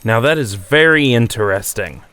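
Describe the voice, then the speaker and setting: An actor in an audio drama playing a sceptical, hard-nosed US army colonel with a terse, interrogating manner. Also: male voice, mid-range voice, lively intonation, moderate pace, pushed and bright, no room sound. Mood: probing.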